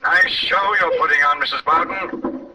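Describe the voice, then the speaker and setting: A man's voice: a little high, moderate pace, distant mic, noisy background, lightly acted. A man playing a commanding, taunting, mysterious crime fighter in an old radio drama. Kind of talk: 1940s radio acting. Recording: muffled old radio recording with music.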